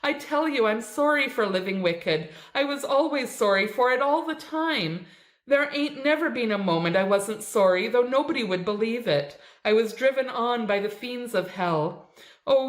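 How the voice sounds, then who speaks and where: A woman reading a story aloud, voicing a dying woman who pleads in a shrill, frantic voice. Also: unhurried, fairly bright tone. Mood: terrified. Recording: echoey room.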